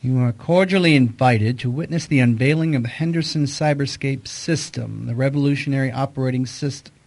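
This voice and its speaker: An actor playing a wisecracking, informal private detective in a radio mystery. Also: male voice, mid-range voice, fairly quick, creaky, darkish tone. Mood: grumbling. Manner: wry, informal. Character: wisecracking private detective, uneasy among high society. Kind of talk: hard-boiled detective radio drama.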